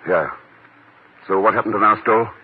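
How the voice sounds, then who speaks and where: On a narrow-band vintage radio recording, an actor plays a cool secret agent. The man's voice is fairly low and his talk is clipped and controlled. He sounds shrewd and used to command. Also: normal speed, slight background noise, close to an everyday voice. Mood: suspicious, terse. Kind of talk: 1950s radio drama acting. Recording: tinny old radio recording, music behind.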